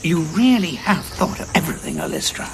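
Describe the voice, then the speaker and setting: Gravelly, indignant renegade hero. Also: male voice, a little high, huge pitch swings, fairly quick, background noise, full character voice. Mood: dry, wary. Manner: sardonic, intense, challenging. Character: indignant, weary renegade Time Lord man, moral and sardonic. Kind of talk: audio drama acting.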